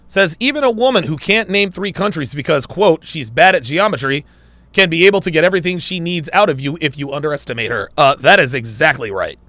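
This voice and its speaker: Man, livestream host, lively pitch, bright voice, dry close mic, a bit hoarse. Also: brisk, no echo. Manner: laughs often, animated and wry while reading comments. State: losing his voice. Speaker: livestream host reading super chats. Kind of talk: casual livestream chat.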